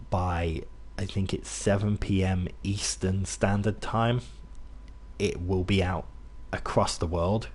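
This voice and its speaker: A young British man with a low, somewhat husky voice talks loosely to his viewers as he plays. His accent is a mixed British one, and he rambles, restarting sentences and filling gaps with ums. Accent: British, mixed, not Brummie. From England, near Birmingham. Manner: rambling, many restarts and fillers, chatty asides. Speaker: gaming streamer. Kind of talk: casual gameplay commentary to chat.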